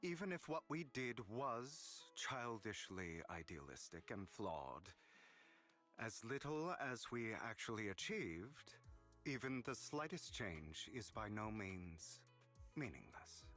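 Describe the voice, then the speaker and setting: An actor playing a proud, scheming young gentleman thief in a video game, speaking in formal, eloquent lines with dramatic delivery. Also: on the low side, very animated, takes it slowly, faint music behind, heavily acted. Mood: earnest, reflective.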